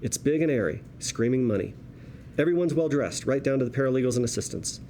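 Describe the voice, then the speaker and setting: A man's voice: mid-range voice, expressive, fast, dark tone, a little hiss or hum. A man reading stage directions as the narrator of a scripted drama table read.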